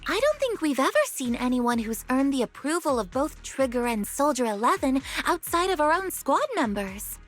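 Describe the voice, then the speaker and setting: An earnest young military officer, high-pitched and animated in intonation yet formal and exact. She sounds like a game character, with faint music behind her. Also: moderate pace, hardly any pauses. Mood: composed, formal. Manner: formal, precise briefing delivery. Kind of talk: video game voice acting.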